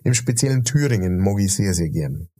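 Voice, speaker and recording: Rambling Bavarian man, mellow voice. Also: low voice, lively intonation, brisk, slightly rough. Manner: rambling, many fillers and restarts. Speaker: podcast co-host. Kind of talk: casual chat.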